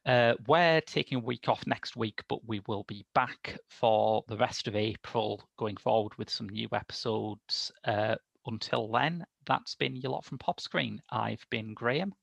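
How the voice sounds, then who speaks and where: A man's voice: mid-range voice, measured pace, gravelly, hardly any pauses, a bit bright, no echo. A man in his thirties hosting a film review podcast with a dry, wry, wordy delivery on a headset mic. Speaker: podcast host. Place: small domestic room, indoors.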